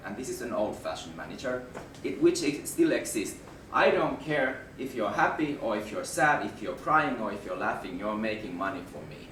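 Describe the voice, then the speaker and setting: A man with a Finnish accent gives a talk to an audience, his voice hoarse from a flu and somewhat low. He stops and restarts words, then pushes up for emphasis. He is picked up from a distance in an echoey room over a steady background noise. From Finland. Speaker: management consultant presenter. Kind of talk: presentation to an audience.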